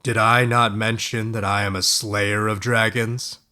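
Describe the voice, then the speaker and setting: A man's voice: fairly low pitch, level tone, unhurried, bright, forceful tone. Man voicing a proud, confident young knight. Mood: boastful. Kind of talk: fairy-tale audiobook character voice.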